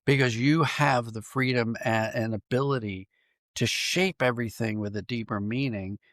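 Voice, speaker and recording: A man's voice: mid-range voice, normal speed, a bit bright, dry recording, clean studio sound. A man speaking as an interview guest, reflective and conversational, thinking aloud and correcting himself as he goes. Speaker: podcast guest, writer and dramatist.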